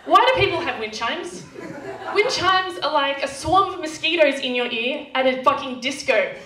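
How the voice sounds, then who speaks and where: A woman's voice: sits high, animated, moderate pace, sharp tone. A young Australian woman with a nasal twang doing brash, sweary stand-up comedy. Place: comedy club stage. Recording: echoey club room, distant, noisy.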